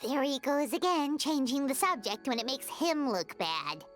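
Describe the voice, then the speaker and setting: A woman voicing a tiny, perky, cheeky childlike companion in a video game, with a squeaky voice. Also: lively intonation, moderate pace, faint music behind.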